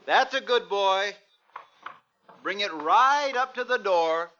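A man's voice: high voice, expressive, takes it slowly, pushed and bright, full character voice. An actor playing a young American father in a mid-century radio drama, firm and coaxing but tense and impatient. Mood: coaxing, insistent. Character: tense young father trying to stay calm. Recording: dull, noisy old radio recording with clicks.

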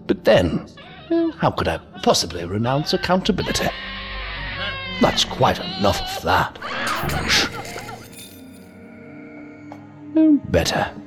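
Creepy polite inhuman villain, super theatrical and wordy, voice swoops all over, audio drama w/ bit of music. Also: male voice, medium pitch, wildly swooping pitch, measured pace. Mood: coolly condescending. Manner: mannered, verbose, mockingly polite, theatrical. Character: sinister, courtly, unhurried villain, inhuman interrogator posing as a gentleman. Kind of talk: science fiction audio drama acting.

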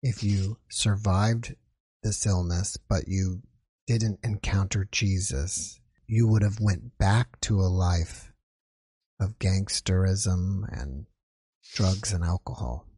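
A man hosts a podcast in a very deep, dark voice. He asks his question slowly, and there is a husky edge to it. The sound is dry. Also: no room sound. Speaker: podcast host. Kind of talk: interview question.

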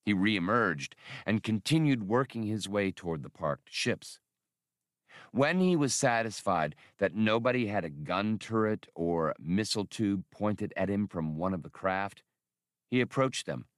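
A man's voice: lowish voice, animated, unhurried, dry recording, clean studio sound. A man reading scripted narration from a science fiction adventure novel as an audiobook narrator.

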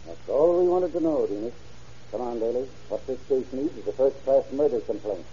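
A man's voice: mid-range voice, lively intonation, normal speed, lightly acted. A man acting a hard, relentless police interrogator in a 1930s radio drama, clipped and accusing. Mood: grim, satisfied. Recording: muffled old radio recording.

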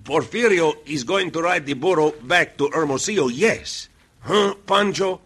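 Radio comic relief: old Mexican burro driver, grandiose, bright raspy voice, broken English. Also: male voice, on the high side, normal speed. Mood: boastful. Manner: pompous, exclamatory. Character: pompous, long-suffering old Mexican burro driver, boastful scholar. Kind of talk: old-time radio western acting.

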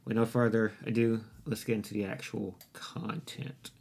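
A young man with a somewhat low voice hosts his own podcast alone, speaking into a desktop microphone at home. He rambles freely, swears often and pokes fun at himself in a loose, casual way. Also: little pitch movement, normal speed, gravelly, darkish tone, breathy edge. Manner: rambling, profane, self-deprecating. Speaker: podcast host. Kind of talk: casual solo podcast monologue. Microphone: desktop USB microphone.

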